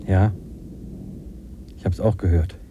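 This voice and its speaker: An actor playing a reflective wanderer who muses philosophically in a 1950s German radio drama. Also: male voice, sits low, steady tone, slow pace, lo-fi sound, noisy background. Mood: earnest, wry. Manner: musing, philosophical. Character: reflective German wanderer seeking freedom in the wilderness.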